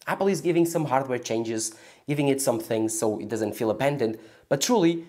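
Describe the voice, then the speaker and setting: A man sharing opinions on his tech channel in a relaxed, off-the-cuff way. His voice sits at an ordinary middle pitch and he repeats phrases as he thinks aloud. The energy lifts as he gets excited about ideas. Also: a little fast. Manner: enthusiastic, repetitive, speculating aloud. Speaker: tech video host. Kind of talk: casual opinion vlog to camera.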